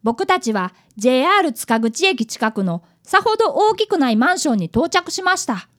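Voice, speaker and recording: A woman's voice: high-pitched, very animated, moderate pace. A woman playing an earnest, polite fifth-grade boy apprentice detective who narrates a radio drama. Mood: narrating, wry.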